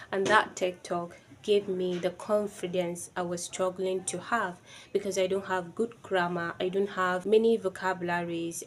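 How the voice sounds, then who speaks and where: A woman's voice: fairly low pitch, a little hiss or hum. A woman from Nigeria with a Nigerian accent whose first language is Yoruba. She talks to camera in an earnest, reflective way. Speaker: vlogger. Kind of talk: personal video talk to camera.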